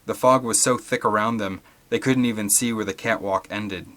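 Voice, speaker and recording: A man with a fairly low, bright and forceful voice narrates an adventure novel. He reads as a dramatic audiobook storyteller, from a prepared script. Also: fairly even intonation, normal speed. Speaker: audiobook reader.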